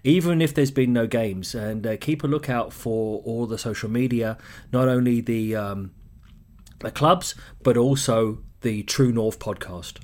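A man's voice: mid-range voice, a little slow, a little mellow. A man with an English accent hosting a solo podcast in a loose, chatty way, full of ums and uhs. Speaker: podcast host.

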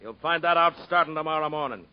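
An actor playing a brusque, bossy prison farm guard in a 1950s radio drama, dry and matter-of-fact. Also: male voice, a little high, fast, close to an everyday voice. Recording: dull 1950s radio broadcast recording.

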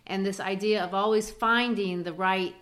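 A woman's voice: mid-range voice, fairly even intonation, normal speed, fairly bright tone. Woman co-host, grew up in brazil, explains stuff thoughtfully, casual book chat. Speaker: podcast co-host.

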